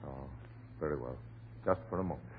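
An actor playing an authoritative, reassuring family doctor in a 1930s radio drama, measured and admonishing. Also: male voice, rumbling low, phone-quality sound. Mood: soothing. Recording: faintly noisy, band-limited 1930s radio recording.

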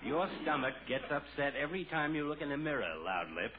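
An actor playing a pompous, acerbic doctor friend who delivers crisp, dry insults in 1940s radio comedy style. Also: male voice, a little high, sing-song, fairly quick. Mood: sardonic. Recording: tinny old-time radio broadcast.

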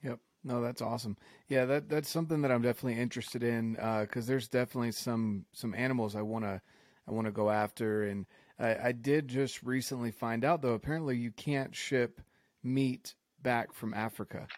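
A man's voice: medium pitch, steady tone, fairly quick, no room sound, clean studio sound. A man from the United States hosting a casual conversation, enthusiastic, often repeating words as he starts a thought.

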